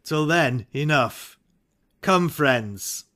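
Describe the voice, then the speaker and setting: A man's voice: a little high, expressive, extremely slow, sharp tone, exaggerated voice. Man acting a brooding, ambitious warrior thane. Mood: courteous. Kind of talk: dramatic Shakespeare reading.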